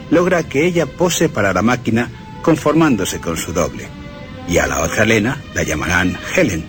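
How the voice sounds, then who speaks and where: A man with a Castilian Spanish accent narrating a scripted piece of film history as a radio presenter. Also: mid-range voice. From Spain.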